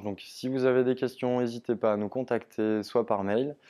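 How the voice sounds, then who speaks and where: A young man speaks in a slightly low, even voice as a ski shop advisor presenting gear. He talks in a steady, informative way, often pausing on small fillers. He stands indoors in a small shop studio, recorded close to the camera. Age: young adult. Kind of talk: product presentation.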